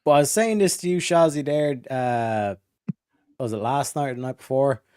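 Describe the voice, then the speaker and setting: A man's voice: mid-range voice, normal speed, darkish tone, smooth, no echo, studio quality. A man arguing his points earnestly in a casual livestream debate as a guest.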